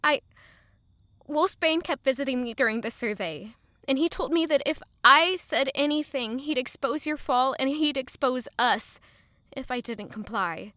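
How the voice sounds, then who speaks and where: A woman's voice: high-pitched, a little slow, pushed and bright, no room sound. An actress playing a guilt-ridden, selfless young woman in love, speaking earnestly and emotionally in an intimate audio drama. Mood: guilty, anxious.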